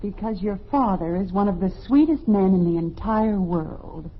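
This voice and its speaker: An American actress playing a firm, patient, middle-aged mother in an old radio family comedy, with a brisk, motherly manner. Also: medium pitch, close to an everyday voice. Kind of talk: 1950s American radio family sitcom acting. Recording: muffled, noisy old radio recording with audience.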